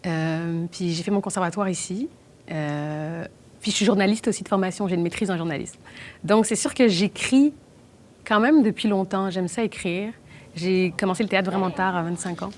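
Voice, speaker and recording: A young adult woman from Belgium, talking fast with many restarts in a documentary interview. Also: lowish voice. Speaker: actress and playwright.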